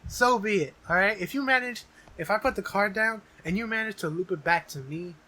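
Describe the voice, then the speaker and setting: A man's voice: high voice, a little fast, sharp tone. A young adult man co-hosting a podcast, chatting casually in slangy language with plenty of swearing. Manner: profane, slangy. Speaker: podcast co-host. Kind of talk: casual chat, banter.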